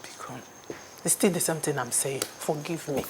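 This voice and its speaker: Woman as an old mother-in-law, low voice, lively pitch, noisy recording. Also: normal speed, close to an everyday voice. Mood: pleading. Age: older. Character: older mother-in-law pleading for her son.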